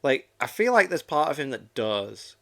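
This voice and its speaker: A man's voice: medium pitch, very animated, normal speed, creaky, sharp tone. A man hosting a reaction video in casual chat, enthusiastic and rambling, often repeating himself.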